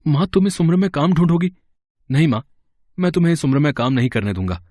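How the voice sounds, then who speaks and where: A man's voice: a little high, very animated, fast, darkish tone, no room sound, studio quality, pitched above the performer's own voice. Man acting an anguished, bitter young son. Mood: despairing. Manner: dramatic, reproachful, despairing.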